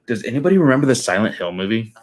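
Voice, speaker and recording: A man's voice: medium pitch, big pitch swings, rapid-fire, slight background noise. Rambling male podcast host. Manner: rambling, many ums and restarts. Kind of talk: casual chat.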